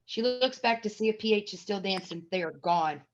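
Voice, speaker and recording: A woman's voice: medium pitch, normal speed, lo-fi sound. A woman reading stage directions as the narrator of a scripted screenplay table read.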